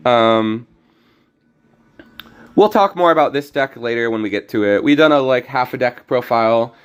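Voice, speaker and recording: A young man streaming a card game, talking casually and rambling with many restarts, his voice slightly hoarse. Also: medium pitch, normal speed, highs cut off. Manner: rambling, self-correcting. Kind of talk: casual gameplay commentary.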